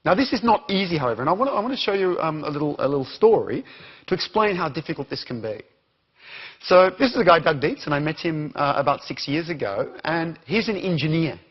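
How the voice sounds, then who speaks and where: Animated Australian man giving a talk. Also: fairly high pitch, fast talker, breathy edge, highs cut off. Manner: animated, questions the audience. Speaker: conference speaker. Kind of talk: interactive keynote talk.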